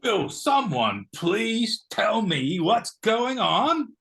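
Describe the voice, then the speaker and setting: A man playing a blustery, oblivious knighted gentleman in a comic stage play, sounding puzzled. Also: sits high, sing-song, extremely slow, vocal fry, exaggerated voice. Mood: bewildered. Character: bewildered, blustery English-style knighted gentleman, oblivious husband.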